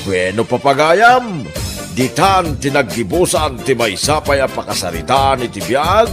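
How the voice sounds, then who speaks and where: A male announcer with an Ilocano accent delivers scripted drama narration over clear music. The pace is slow and the pitch swoops extremely widely. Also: very animated.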